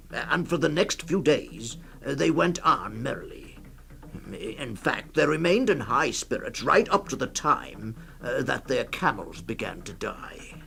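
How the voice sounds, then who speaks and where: An actor playing a hesitant male storyteller in a 1960s radio reading, gravelly and halting, telling a grim tale with many uhs and pauses. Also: medium pitch, a bit bright, full character voice. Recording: 1960s radio production with music.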